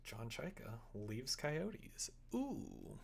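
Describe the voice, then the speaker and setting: Male streamer, wry and casual, talking himself through work at a slow pace with heavy fry. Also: medium pitch, very animated. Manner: wry, muttering to self while working. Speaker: live streamer developing a visual novel. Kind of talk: casual coding livestream chat, thinking aloud.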